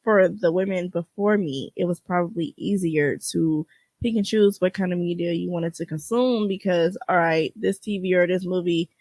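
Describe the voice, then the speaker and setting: A woman's voice: on the low side, moderate pace, darkish tone, no room sound. Girl in her 20s doing a chatty podcast, blunt and slangy, really dry close audio. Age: 20s (twenty-three). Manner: conversational, slangy, frank, swearing freely. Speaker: podcast host. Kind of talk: casual, candid solo podcast chat.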